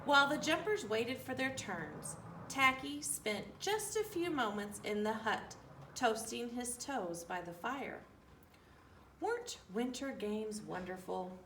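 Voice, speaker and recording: A woman reading a children's storybook aloud with an animated, deliberate, singsong delivery. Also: a little high. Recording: roomy, distant mic, faint room noise.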